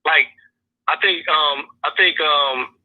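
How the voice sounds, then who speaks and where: A man calls in to a podcast, his husky voice squeezed through a tinny phone line. He speaks a little high, earnest and halting, with many fillers as he gathers his thoughts. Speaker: guest calling in. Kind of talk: casual podcast conversation. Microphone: phone call.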